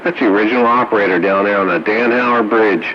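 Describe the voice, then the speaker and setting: A man's voice: on the low side, fast. A man chatting casually over two-way radio, passing the turn around a roundup. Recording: dull, noisy two-way radio audio. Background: clear noise.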